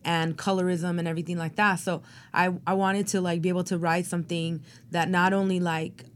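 Actor lady on a podcast, kinda low voice, rambly and thoughtful, lots of ums and likes. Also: level tone, a little fast. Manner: rambling, reflective, many fillers. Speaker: actor and playwright guest. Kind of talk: interview conversation.

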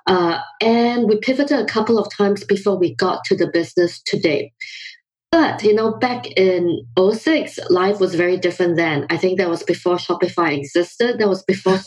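Brief: middle-aged female founder from Singapore, lowish husky voice, measured, laughs easily. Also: a little mellow, rough recording. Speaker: founder and CEO guest. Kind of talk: remote podcast interview.

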